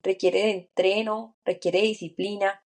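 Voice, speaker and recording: A woman co-hosts a reflective podcast chat. Her voice sits at a middle pitch, and she talks in an earnest, encouraging way. She thinks aloud and restarts small phrases now and then. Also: level tone, moderate pace, a little mellow. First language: Spanish. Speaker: podcast co-host. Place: lives and works in France.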